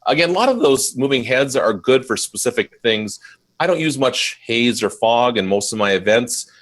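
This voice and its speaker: A man who runs a mobile DJ company, chatting in a casual live interview and telling stories with lively jumps in his voice. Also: medium pitch, normal speed. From Minnesota, USA. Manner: animated storyteller, voice jumps up when quoting.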